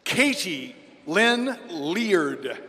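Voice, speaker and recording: Man, name announcer, high voice, slow and clear, podium mic, echoing arena. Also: big pitch swings, sharp tone. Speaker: name reader at graduation. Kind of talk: reading out graduate names. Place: large indoor arena. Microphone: podium microphone. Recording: long reverb of a large arena.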